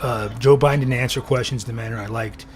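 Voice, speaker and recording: A man with a raspy voice after recent throat surgery, hosting a casual live podcast and rambling through his thoughts with many fillers. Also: medium pitch, steady tone, quick pace, a little hiss or hum. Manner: rambling, thinking aloud with fillers. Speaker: podcast host. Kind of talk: casual livestream chat.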